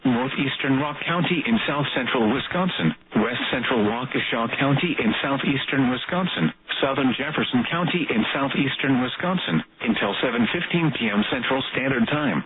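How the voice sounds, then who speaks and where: A man's voice: mid-range voice, animated, moderate pace, hardly any pauses. Tinny weather radio male automated announcer. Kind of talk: formal emergency weather alert reading. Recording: tinny band-limited weather radio broadcast.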